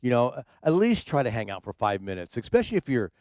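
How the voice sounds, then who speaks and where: A man's voice: medium pitch, very animated, fast, darkish tone, no room sound. A man who works as a DJ, hosting a casual livestream chat from his home studio, rambling with many fillers and repeated words. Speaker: streaming DJ and show host.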